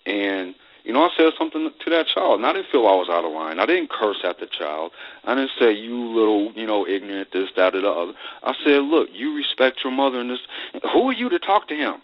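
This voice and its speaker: Low-voiced man, muffled radio chat. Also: big pitch swings, brisk. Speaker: radio host. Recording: dull lo-fi radio phone-in audio.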